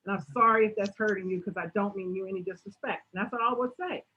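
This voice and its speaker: A woman answering audience questions in a motivational talk, emphatic and animated, often tagging her points with "right?". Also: medium pitch, quick pace, slightly rough, lo-fi sound. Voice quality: strong voice.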